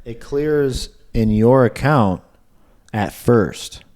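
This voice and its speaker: A man's voice: mid-range voice, extremely slow, darkish tone. A man co-hosting a podcast, chatting in a casual, informal and laid-back way. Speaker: podcast co-host. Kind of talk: casual chat.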